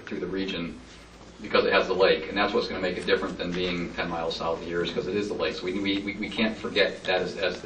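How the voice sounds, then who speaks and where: A man's voice: deep voice, fairly bright tone, slight background noise. A man answering at a public meeting, rambling and enthusiastic with many fillers. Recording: roomy hall, distant mic.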